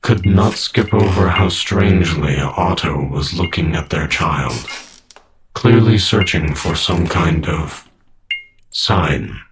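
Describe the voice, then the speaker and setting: A man narrates a scripted audio drama in a low voice. He reads slowly, with faint noise in the background. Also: lively intonation.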